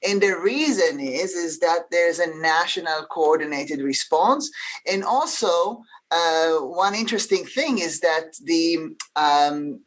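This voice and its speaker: A woman's voice: lowish voice, animated, takes it slowly. Israeli-accented woman panelist. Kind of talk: expert panel discussion.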